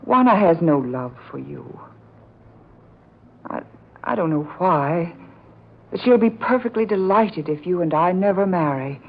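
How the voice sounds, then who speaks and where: Casting: a troubled young fiancée, lowish voice, hesitant and urgent, vintage radio melodrama on a muffled recording. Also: female voice, expressive, measured pace, pauses often. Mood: anxious, insistent. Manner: hesitant, urgent, earnest delivery with repeated words. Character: frightened, distressed young fiancée, suspicious of her aunt. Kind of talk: old-time radio drama acting. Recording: dull, noisy old radio recording.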